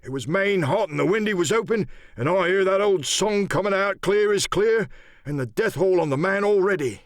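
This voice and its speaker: A man's voice: high-pitched, animated, normal speed, no room sound, studio quality, pitched above the performer's own voice. A man voicing a haunted, superstitious old pirate spinning a yarn in an audiobook, in rambling, theatrical rustic sailor dialect. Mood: haunted, dreading. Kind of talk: audiobook character acting.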